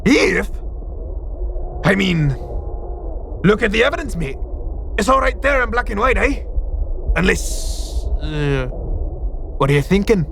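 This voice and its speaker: A man playing a lively, friendly creature sidekick in a fantasy audio drama, with a gravelly, colloquial voice. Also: high voice, takes it slowly, pauses often, slight background noise, heavily acted. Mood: incredulous. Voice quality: gravelly, creaky. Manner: chummy, colloquial, animated.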